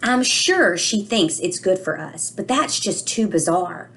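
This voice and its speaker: A woman's voice: on the low side, lively intonation, unhurried, fairly bright tone, slight background noise. Woman reading as an anxious little storybook boy. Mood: fretful, indignant. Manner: worried, singsong rhyming delivery. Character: anxious, literal-minded little boy telling his storybook tale.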